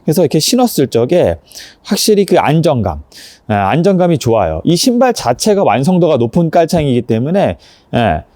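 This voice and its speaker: Man, product reviewer, lively intonation, soft mellow tone, polite step-by-step explaining, dry close sound. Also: a little high, a little fast, no room sound. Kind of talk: casual unboxing review, explaining step by step while demonstrating.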